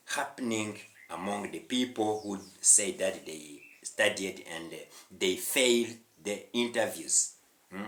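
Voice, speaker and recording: A man's voice: mid-range voice, fairly bright tone. Echoey Rwandan man teaching English. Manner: emphatic, repetitive, with questioning interjections. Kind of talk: online language lesson, addressing viewers directly. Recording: echoey room.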